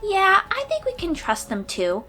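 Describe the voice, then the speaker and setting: A woman's voice: extremely high pitch, wildly swooping pitch, a little slow, sharp tone, soft background music, heavily acted. A woman voicing a confident, chatty thirteen-year-old sea-dweller girl warrior in a fantasy audio roleplay, perky and informal. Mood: friendly, confident.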